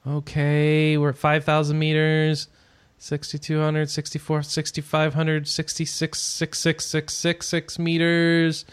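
A man's voice: a little high, monotone, a little slow, clear, resonant tone, dry recording. Rambling, sarcastic male podcast host. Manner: rambling, sarcastic asides, trailing off into mumbles. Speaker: podcast host reading gaming news. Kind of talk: casual podcast chat.

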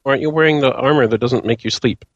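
A man's voice: mid-range voice, very fast, no room sound, dull audio. A man chatting casually about video games as a panelist on a podcast. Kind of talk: casual gamer chat.

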